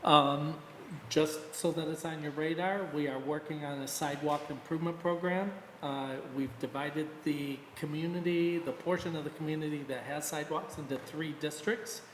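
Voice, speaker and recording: A man as a village official giving a measured, explanatory update at a meeting. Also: on the high side, fairly even intonation, a bit bright, a little hiss or hum. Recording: echoey hall from a room mic.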